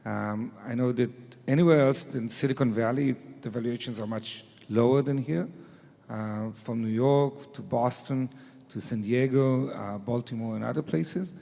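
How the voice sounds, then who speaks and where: A man with an Israeli accent speaking on a panel and pausing often with fillers. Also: medium pitch, creaky, warm tone. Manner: hesitant, many fillers. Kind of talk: panel discussion. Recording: long reverb of a hall.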